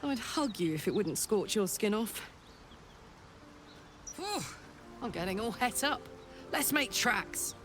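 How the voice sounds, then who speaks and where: A woman's voice: mid-range voice, sing-song, slow pace, pauses often, music in the background. An actress playing a brash, fierce female warrior escaped from hell in a video game, blunt, sweary and informal in manner. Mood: excited. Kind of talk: video game character acting.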